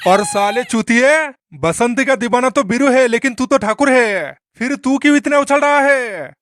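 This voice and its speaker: A man's voice: very high-pitched, expressive, normal speed, fairly bright tone. A man voicing a swaggering, menacing but foolish bandit boss in a comic cartoon, drawling and taunting in an exaggerated way. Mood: commanding.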